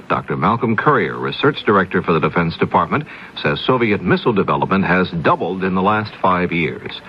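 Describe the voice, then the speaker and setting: Guy reading 70s radio news, low husky voice, muffled old am radio sound. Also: very animated, a little fast, slight background noise. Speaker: radio newscaster. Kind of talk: scripted 1970s radio news bulletin. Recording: dull lo-fi 1970s AM radio recording.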